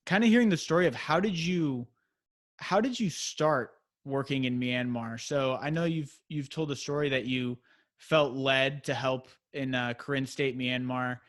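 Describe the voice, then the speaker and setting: Man, interviewer, middle pitch, casual, hesitant, lots of fillers. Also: fairly bright tone. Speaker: interviewer, channel host. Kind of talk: interview questions.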